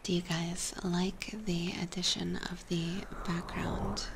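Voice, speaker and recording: A woman streamer whispering softly and gently in a casual ASMR chat with viewers, close on a binaural microphone. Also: fairly low pitch, level tone, takes it slowly, creaky, background noise. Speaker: streamer hosting a live ASMR roleplay stream.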